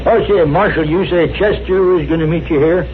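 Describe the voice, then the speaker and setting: A man's voice: fairly high pitch, fairly quick, narrow, tinny audio, music in the background, close to an everyday voice. A man playing an eager, hospitable frontier homesteader in a 1960s radio western, with folksy rustic speech and a flustered manner. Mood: eager, friendly. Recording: very noisy, phone-thin old radio recording.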